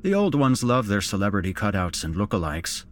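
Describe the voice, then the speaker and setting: A man's voice: on the low side, very animated, brisk, soft background music. A man playing a jovial, joking policeman. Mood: amused. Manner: joking, muttering, blasé. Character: jovial teasing male police officer on night call. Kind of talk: audiobook character dialogue.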